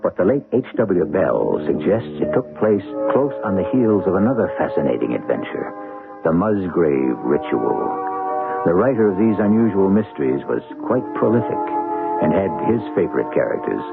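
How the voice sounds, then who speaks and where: Guy hosting old radio mystery show, low voice, dramatic swoops, narrow tinny sound. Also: very animated, normal speed, gravelly, over loud music. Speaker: host of radio mystery series. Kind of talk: scripted dramatic narration.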